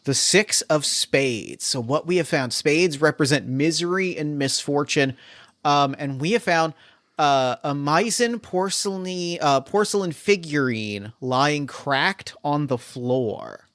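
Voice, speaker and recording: A man as game master of a solo tabletop mystery, talking in a rambling, animated way full of fillers and restarts. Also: on the high side, takes it slowly, dry recording, clean studio sound.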